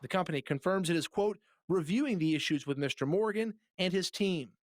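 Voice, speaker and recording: A man's voice: sits high, expressive, fairly quick. A man reporting as a TV investigative journalist, reading scripted narration for a news package.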